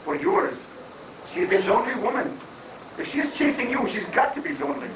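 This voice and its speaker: A pompous guru acted out by a stage reader pitched high, speaking like mock scripture. The fatherly, glib advice comes from far off in a noisy, echoing room, as part of a satirical play reading. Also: expressive, a little fast, creaky. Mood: glib, advising.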